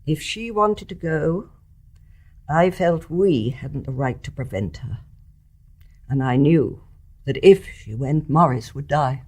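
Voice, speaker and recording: An older woman with an English accent playing a wise, composed, forgiving mother-in-law in a radio drama, measured and frank. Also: low-pitched, expressive, slightly rough, exaggerated voice. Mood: reflective, frank. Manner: measured, articulate, gently frank. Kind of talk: stage-play radio drama acting.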